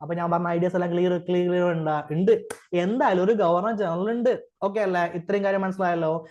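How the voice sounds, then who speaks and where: A man's voice: sits high, steady tone, brisk, a little mellow, dull audio. A man with a Malayali accent giving an informal lecture as an exam coach, asking rhetorical questions, often checking for understanding and mixing in English terms. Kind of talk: informal classroom-style lecture.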